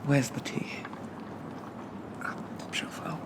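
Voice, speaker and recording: A man playing a shy, old-fashioned undead zombie gentleman in an ASMR roleplay, speaking in a soft, breathy half-whisper with hesitant, quaintly polite phrasing. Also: slow, close to an everyday voice. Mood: polite, eager. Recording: constant loud background noise.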